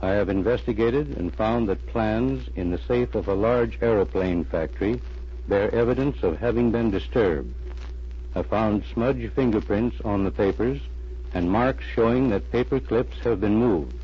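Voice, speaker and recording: An actor playing a stern, authoritative intelligence chief giving formal briefings in an old-time radio drama, on a muffled recording. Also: male voice, fairly low pitch, normal speed, slightly rough. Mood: grave, official.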